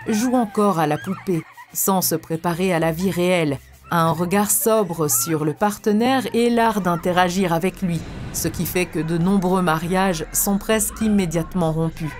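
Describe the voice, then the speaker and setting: Woman acting wise female mentor, lowish voice, calm, didactic, scripted cartoon lecture, music underneath. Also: close to an everyday voice.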